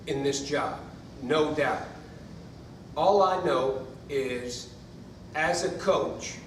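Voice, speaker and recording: Male college coach on a panel, earnest and reflective, fairly high voice, recorded from far off in an echoey room. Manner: earnest, reflective, repeats phrases for emphasis. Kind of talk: panel discussion answer. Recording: echoey room, mic far off, faint noise.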